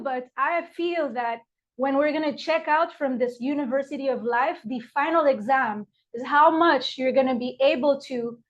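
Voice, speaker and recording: A woman from Morocco, an herbalist, giving a heartfelt live online workshop with frequent fillers from her apothecary over a video call. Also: high-pitched. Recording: dull lo-fi video call audio.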